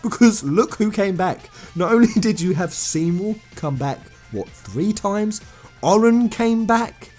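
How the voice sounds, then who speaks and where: A British man presents casual fan commentary in a slightly high voice. His pitch moves around a lot as he makes his points emphatically and enthusiastically. Faint music plays behind him. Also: expressive, a little slow, a little mellow. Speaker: video host.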